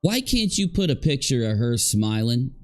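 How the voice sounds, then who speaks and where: A man's voice: mid-range voice, sing-song, normal speed, soft background music. A man commenting live, reacting to clips and talking to his chat in a sarcastic, rambling way. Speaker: livestream commentator.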